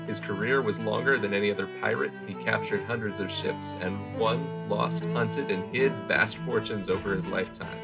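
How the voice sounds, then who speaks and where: A man reading scripted documentary narration in a measured storytelling manner. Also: on the low side, vocal fry, over loud music.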